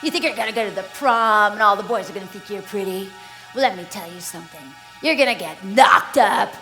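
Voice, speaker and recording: A woman's voice: mid-range voice, brisk, a bit bright, soft background music, pitched below the performer's own voice, close to an everyday voice, poor audio. A woman voicing a drunk, abusive father in a stage monologue, sneering and hammering out short, contemptuous insults. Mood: sneering menace. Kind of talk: one-person stage monologue.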